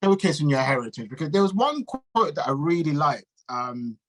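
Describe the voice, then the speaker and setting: A man's voice: on the high side, very animated, normal speed. A man hosting a casual interview, talking in an informal, slangy, encouraging way. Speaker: interviewer and show host. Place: remote video call.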